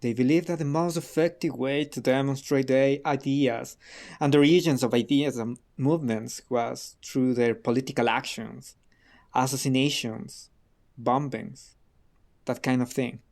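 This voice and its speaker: An actor playing a guarded, defiant radical under questioning in a radio drama, terse and defensive. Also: male voice, medium pitch, fairly even intonation, normal speed, gravelly, a little mellow, lightly acted. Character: guarded, defiant male radical being interrogated.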